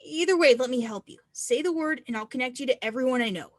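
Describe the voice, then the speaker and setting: High-voiced, encouraging teen snowboarder played by a woman. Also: quick pace, dry recording, studio quality. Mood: earnest, encouraging. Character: encouraging, self-reliant non-binary teen semi-pro snowboarder. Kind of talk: screenplay table read.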